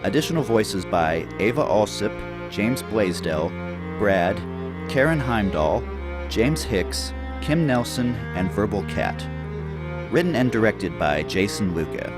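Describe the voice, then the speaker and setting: A man's voice: lowish voice, animated, unhurried. A man reading scripted closing credits as the announcer of an audio program. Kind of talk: scripted narration. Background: bowed string music, cello.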